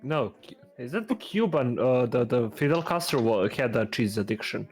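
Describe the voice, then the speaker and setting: A man in a casual online group chat, pausing often as he makes his points. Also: mid-range voice, animated. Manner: hesitant, full of uh fillers. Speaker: panelist.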